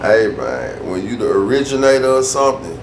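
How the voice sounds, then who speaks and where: Male vlogger, gravelly voice, loose slangy rant, echoey room with faint hum. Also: mid-range voice, lively intonation, normal speed. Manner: loose, slangy, repeats himself for emphasis. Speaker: YouTube storyteller. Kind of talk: casual ranting vlog.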